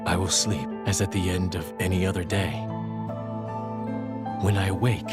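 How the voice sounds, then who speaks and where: An actor playing a stoic, weary warrior in a video game, speaking with grave, measured solemnity. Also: male voice, deep voice, fairly even intonation, natural voice. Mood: solemn, resigned. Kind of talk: dramatic video game voice acting. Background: music.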